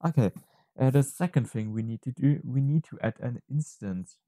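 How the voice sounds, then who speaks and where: A man narrating an unscripted software tutorial, thinking aloud haltingly and correcting himself as he goes. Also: medium pitch, no room sound, clean studio sound. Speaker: tutorial presenter.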